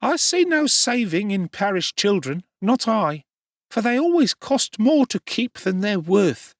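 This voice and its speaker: A man voicing a sharp, stingy older woman who speaks pettishly and with scorn. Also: sits high, big pitch swings, a little slow, no echo, studio quality, voice pushed up.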